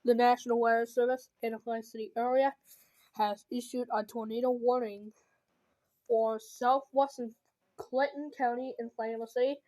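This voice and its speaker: A woman reading a mock weather warning like an amateur announcer, in a choppy, halting way with stumbles and self-corrections. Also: a little high, level tone, darkish tone, rough recording. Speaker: amateur emergency alert reader.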